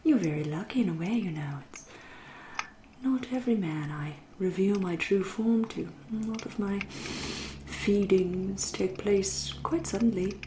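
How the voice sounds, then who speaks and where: Woman as a soft, whispery English vampire lady. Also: mid-range voice, roomy sound, noisy background, lightly acted. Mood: quietly menacing. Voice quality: soft, breathy, often whispery. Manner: genteel, deliberate, drawn-out phrasing with dry irony. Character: centuries-old aristocratic vampire woman, politely menacing, wryly proud. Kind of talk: ASMR roleplay monologue.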